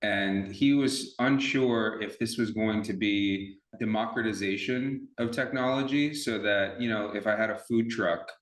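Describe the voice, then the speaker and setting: A man hosts a podcast interview in a fairly low voice, asking long, rambling questions with restarts. His voice echoes in the room. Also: measured pace, hardly any pauses. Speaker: podcast host. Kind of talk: casual interview.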